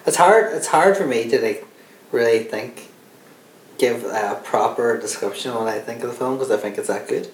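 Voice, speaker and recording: Young irish guy rambling thru a film chat, lots of likes and uhs, room echo. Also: medium pitch. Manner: rambling, halting, lots of fillers. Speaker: podcast co-host. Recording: echoey room.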